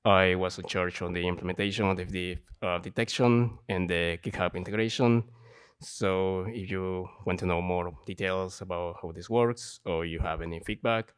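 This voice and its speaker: Ecuadorian guy w spanish accent doing a lightning talk, really low voice, clear and smooth. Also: normal speed. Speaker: software developer giving a talk. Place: conference stage.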